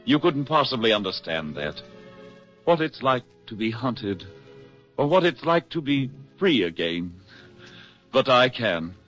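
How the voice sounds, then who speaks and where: A man's voice: mid-range voice, sing-song, measured pace, long pauses, fairly bright tone. A man acting a withdrawn, haunted war veteran with terse, guarded delivery in a 1940s radio drama. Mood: haunted, brooding. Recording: muffled 1940s radio, faint music.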